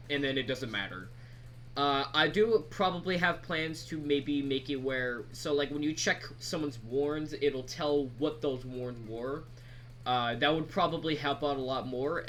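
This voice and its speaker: A man giving a casual screen-recorded software tutorial, talking in a halting, rambling, informal way. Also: mid-range voice. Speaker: mod creator presenting tutorial. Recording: background noise, keyboard typing.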